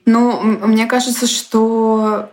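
A woman in a casual conversation, speaking thoughtfully and with measured hesitation. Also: a little high, flat delivery, darkish tone.

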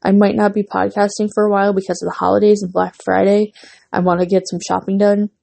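Husky, losing-voice young woman podcaster. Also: medium pitch, little pitch movement, fast talker, warm tone. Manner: rambling, lots of fillers and restarts. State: losing her voice, runny nose. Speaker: podcast host. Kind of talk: casual solo podcast chat.

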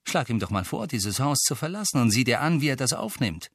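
A man voicing a gruff, irritable master of a house, curt and commanding in his manner. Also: mid-range voice, sing-song, brisk, fairly bright tone, no room sound, clean studio sound, voice pushed up. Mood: dismissive.

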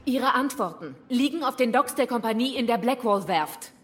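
An actress playing a proud, composed female rebel leader in a German audio drama, speaking formally with measured defiance. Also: a little high, lively intonation, faint music behind, lightly acted. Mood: measured, firm.

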